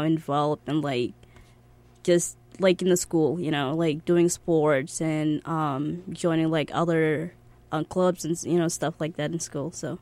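A woman's voice: on the low side, no echo. A young woman from Egypt whose first language is Arabic, chatting casually as an interview guest in an informal, giggly way peppered with "like". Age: young adult. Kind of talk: casual chat.

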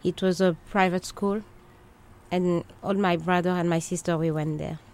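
A woman's voice: lowish voice, fairly even intonation, moderate pace, darkish tone. A woman from the Democratic Republic of Congo with a Congolese French accent, telling her life story as an interview guest in hesitant, short English phrases. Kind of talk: conversational personal life story.

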